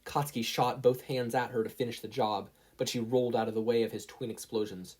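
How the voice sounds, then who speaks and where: A narrator reads a scripted story in a mid-pitched voice. The tone has a husky, unpolished grain to it. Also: fairly even intonation, fairly quick, a little mellow. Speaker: narrator, podfic reader.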